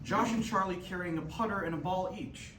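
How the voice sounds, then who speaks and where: A man's voice: high-pitched, brisk, fairly bright tone. A man reading scripted stage directions as narrator at a staged reading. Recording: echoey room, far mic, faint noise.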